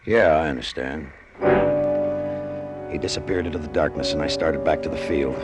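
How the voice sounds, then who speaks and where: A man's voice: a bass voice, moderate pace. An American man playing a haunted, brooding cargo pilot and narrating his story in old-time radio drama style. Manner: clipped, brooding, hard-boiled. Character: tense, haunted hard-boiled cargo pilot, greedy and brooding. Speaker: actor narrating in character. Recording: vintage radio drama with music underneath.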